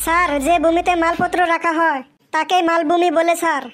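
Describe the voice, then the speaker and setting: A woman's voice: extremely high pitch, flat delivery, brisk, fairly bright tone, slight background noise. A woman voicing a polite but cheeky, stubbornly earnest schoolboy in a comedy cartoon. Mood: eager, earnest.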